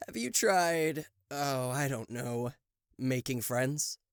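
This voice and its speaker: A man's voice: mid-range voice, lively intonation, slow pace, smooth, dry recording, clean studio sound. A man voicing a theatrical, sarcastic demon king of hell in a roleplay audio drama, proud and showy in manner. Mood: sarcastic, incredulous. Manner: sarcastic, showy, stammering in disbelief. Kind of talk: roleplay audio drama acting addressed to the listener.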